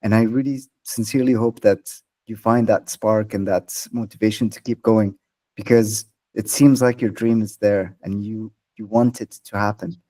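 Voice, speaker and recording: A man hosting a podcast interview, gently affirming his guest and asking measured questions. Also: on the low side, fairly even intonation. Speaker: podcast host. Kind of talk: interview conversation.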